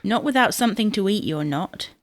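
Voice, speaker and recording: A woman's voice: medium pitch, expressive, a little fast, no room sound, natural voice. A woman playing a fussing, no-nonsense middle-aged wife in a domestic audio comedy, chiding and motherly in manner. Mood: firm, soothing.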